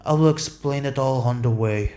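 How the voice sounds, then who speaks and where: An actor voicing a jolly old cookie sage who talks in a calm, measured way with unhurried chuckles, in an animated fantasy fandub. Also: medium pitch, natural voice. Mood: calm, amused. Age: older. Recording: voice over background music.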